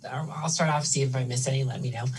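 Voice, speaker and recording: Soft-spoken man, distant with faint hum. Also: mid-range voice, steady tone, quick pace. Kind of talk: panel answer on a webinar.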